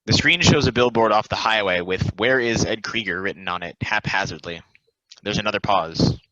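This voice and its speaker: A man with a lowish voice narrates the stage directions of a play reading. The recording is dry, with no room around it, and slightly muffled and lo-fi. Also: normal speed, sharp tone, no echo. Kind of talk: scripted narration, play table read.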